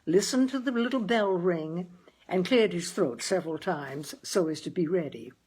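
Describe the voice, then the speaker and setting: A woman's voice: on the low side, animated, moderate pace, a little mellow, breathy edge, poor audio. A woman reading a literary short story aloud as scripted narration. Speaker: story reader.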